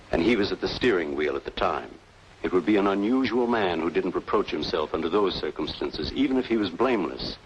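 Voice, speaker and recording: An actor playing a grave, persuasive doctor in an old-time radio noir drama, speaking in a measured, formal, explanatory way. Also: male voice, low voice. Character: grave, authoritative male doctor, earnest and persuasive. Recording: noisy vintage radio recording.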